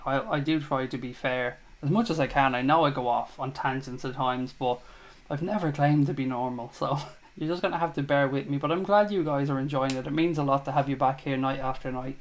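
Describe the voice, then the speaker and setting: A man hosting a live fan chat show, reading viewer comments aloud and answering them in a blunt, jokey, sweary way. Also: on the high side.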